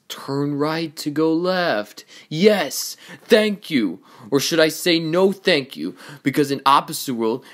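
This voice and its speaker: A man voicing a cocky, excitable young talking race car in an amateur cartoon audition, with theatrical, emphatic delivery. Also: medium pitch, natural voice.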